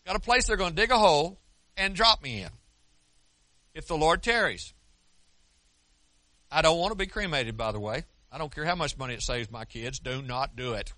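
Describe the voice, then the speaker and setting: A man's voice: a little high, lively intonation, moderate pace, long pauses, sharp tone, no room sound. An older American man preaching a sermon in an earnest, conversational way, often restarting his sentences. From United States. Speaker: pastor.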